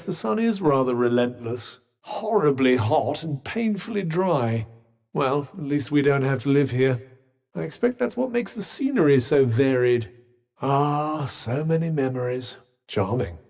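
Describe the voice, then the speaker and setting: A man's voice: fairly high pitch, a little mellow, in a large hall. An actor voicing a sardonic male magic bracelet in a video game, delivering dry, teasing, understated quips.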